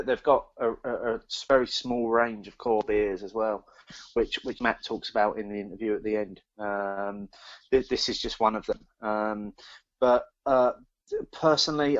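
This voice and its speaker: A man co-hosting a podcast in casual chat, speaking haltingly and repeating words as he thinks aloud. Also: mid-range voice, dull audio. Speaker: podcast co-host. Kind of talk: casual chat, beer review.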